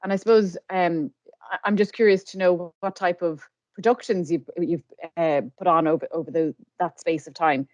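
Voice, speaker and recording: A woman's voice: lowish voice, fairly even intonation, moderate pace, a little mellow, dry recording, highs cut off. A woman hosting a podcast and putting questions to a guest in a conversational way. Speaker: podcast host, communications professional.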